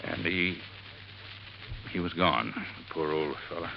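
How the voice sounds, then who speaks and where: An actor playing an earnest, folksy American resort handyman in 1950s radio drama, telling things in a rambling, unhurried way. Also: sits low, gravelly, exaggerated voice. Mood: troubled, earnest. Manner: folksy, rambling storytelling with dropped formal grammar. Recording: dull, slightly noisy vintage radio recording.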